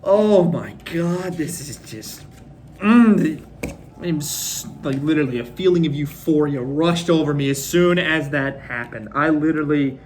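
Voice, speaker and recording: A young man from Minnesota hosting a casual fan recap, rambling and excitable, his voice a bit worn from screaming. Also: a little high, a little slow, slight background noise. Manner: rambling, excitable, lots of fillers. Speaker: fan podcast host. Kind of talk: casual solo recap of a hockey game.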